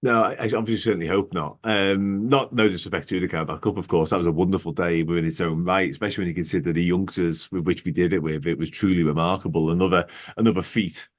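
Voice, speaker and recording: A man's voice: low voice, steady tone. A man hosting a football fan podcast, running through long lists with enthusiasm. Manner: fast, listing, enthusiastic fan talk. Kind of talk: casual interview chat.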